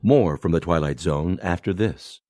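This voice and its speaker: A man's voice: low-pitched, moderate pace, loud music behind the voice. A man announcing a radio program, reading scripted credits.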